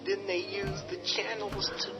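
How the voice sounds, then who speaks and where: A man's voice: high-pitched, little pitch movement, a little slow. An actor playing a sardonic young man who teases his sister with spooky whispers, in a radio drama. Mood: spooky teasing. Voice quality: sneering, teasing spooky whispers. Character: mocking young man, brother, teasing and sardonic. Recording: dull radio drama with music.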